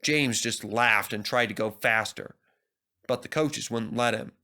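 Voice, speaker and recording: A man's voice: mid-range voice, measured pace, pushed and bright. A man narrating a scripted children's story expressively as a storybook reader.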